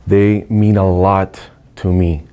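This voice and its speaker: A man from Saudi Arabia, a student, giving a slow and earnest personal statement. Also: low-pitched, flat delivery, dark tone, smooth.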